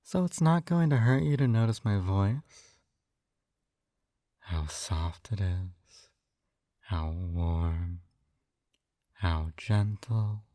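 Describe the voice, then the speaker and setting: A man playing a possessive, coaxing hypnotist captor in an intimate ASMR roleplay, with a soft velvety voice and teasing manner. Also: deep voice, animated, no echo, clean studio sound, exaggerated voice. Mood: soothing, coaxing. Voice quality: soft, velvety, breathy whispers at times. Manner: slow coaxing, teasing tag questions.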